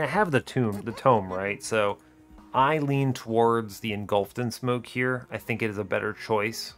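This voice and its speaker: A man giving strategy game commentary as host, thinking aloud in a deliberate, explanatory way and often questioning his own choices. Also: medium pitch, fairly bright tone. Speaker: gaming video host.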